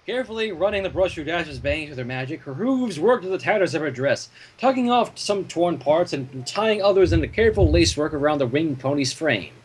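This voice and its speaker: A man reading a story aloud in an animated narrating style, with joking asides and a husky voice. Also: on the high side, fairly quick, hardly any pauses, slight background noise, rough recording. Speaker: fan fiction reader.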